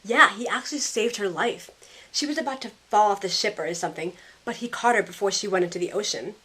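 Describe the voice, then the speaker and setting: Girl playing a sassy young modern woman telling her story, wry and chatty, audio drama heroine, mid pitch. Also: fairly quick, a bit bright, natural voice, poor audio. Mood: wry narrating. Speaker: narrator and lead character. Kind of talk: first-person story narration with in-character dialogue.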